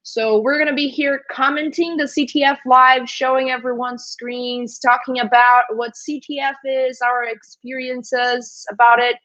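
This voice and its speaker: A woman from Brazil whose first language is Brazilian Portuguese, hosting a livestream in a chatty, halting way with frequent hesitations. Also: sits high, level tone, fairly bright tone, highs cut off. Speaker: host.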